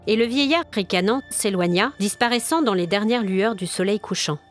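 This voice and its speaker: Woman storyteller reading a spooky old legend, medium pitch, music underneath. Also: moderate pace. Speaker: storyteller, narrator. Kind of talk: scripted narration of a spooky folk tale.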